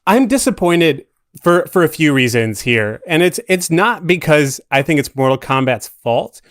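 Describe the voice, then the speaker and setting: A man's voice: a little high, lively intonation, normal speed, no echo, studio quality. A man speaking as a podcast guest in a casual, conversational discussion of film.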